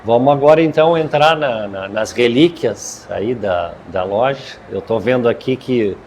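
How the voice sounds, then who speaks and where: A man's voice: medium pitch, moderate pace, darkish tone, slight background noise. A man hosting a live stream who asks guests questions, often stopping and restarting his phrases. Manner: hesitant, many restarts while asking questions.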